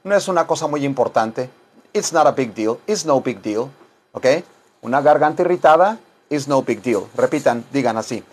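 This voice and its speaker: A man's voice: medium pitch, expressive, normal speed, darkish tone. A middle-aged man teaching a bilingual language lesson informally, repeating phrases and dictating them word by word. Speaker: English teacher and self-styled chaplain. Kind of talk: bilingual English lesson in Spanish and English. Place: small wood-paneled room indoors.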